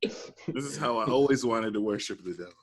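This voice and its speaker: A man playing God as a joke, putting on a British accent and talking in a deadpan, playful way on a live stream. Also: mid-range voice, normal speed, slightly rough, pitched above the performer's own voice, natural voice. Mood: musing. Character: God, a joking man-made deity with a put-on British accent. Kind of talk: comic improvised bit on a live stream.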